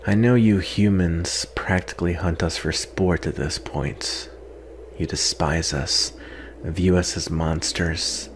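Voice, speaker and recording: A man acting out a proud, sardonic captive vampire in a soft-spoken, disdainful ASMR roleplay. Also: sits low, slight background noise. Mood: bitter, defensive. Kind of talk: ASMR roleplay, acted monologue.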